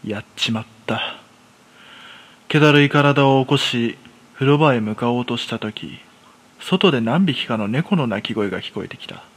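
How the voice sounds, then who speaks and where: A self-deprecating shut-in in his thirties, played by a man tells his own horror story in a radio play. His voice is mid-pitched, and he speaks in a rough, colloquial, masculine way with a jittery temper. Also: lively intonation, moderate pace, natural voice. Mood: wry, weary. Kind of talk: first-person horror story narration, radio drama.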